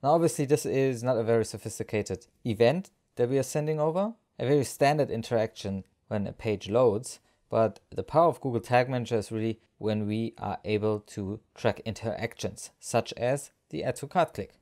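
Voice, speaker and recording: A man narrating a step-by-step software screencast tutorial as an instructor, explaining each action as he goes. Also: mid-range voice, moderate pace, hardly any pauses.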